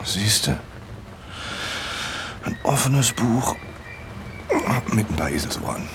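An actor playing a teasing, wheedling husband in a naturalistic radio drama, speaking in an intimate, coaxing manner. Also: male voice, on the low side, big pitch swings, takes it slowly, noisy background.

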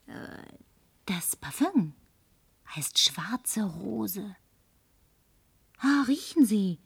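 A woman's voice: medium pitch, big pitch swings, very slow, no echo, full character voice. A woman dubbing a lonely, tipsy, flirtatious woman, talking in a coaxing, whispery way that at times turns demanding. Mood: flirty. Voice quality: breathy, whispery. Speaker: advertising agency woman. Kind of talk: German film dub.

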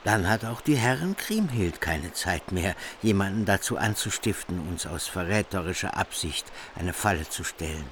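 A defiant warrior in a radio play, deep and forceful, stern and resolute. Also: male voice, expressive, moderate pace, few pauses, a little hiss or hum. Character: grim, proud warrior vassal, hard and defiant. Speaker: actor in radio drama. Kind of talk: formal radio play acting.

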